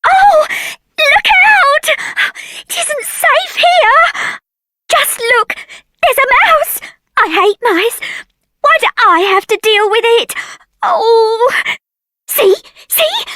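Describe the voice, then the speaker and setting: A panicky servant woman from a video game, frightened of mice. Her voice is extremely high and sharp, the delivery frantic and exclamatory, recorded very dry. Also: animated, extremely slow, pauses often, no echo, exaggerated voice. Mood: panicked, frightened. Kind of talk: video game character acting.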